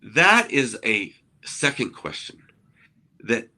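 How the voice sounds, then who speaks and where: A man with a bright, ringing voice at a middle pitch, who grew up in Argentina. He talks earnestly in a relaxed podcast chat and keeps asking "right?" to bring the listener along. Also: takes it slowly. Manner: earnest, explanatory, often checks in with "right?". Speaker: co-host, ministry innovation speaker. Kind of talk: conversational podcast discussion.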